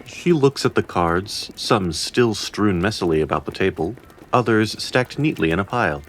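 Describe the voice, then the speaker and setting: A man's voice: lowish voice, expressive, moderate pace, fairly bright tone, slight background noise. A man narrating a fantasy audio drama as a scripted storyteller, with conversational, playful asides. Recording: clear music bed under narration.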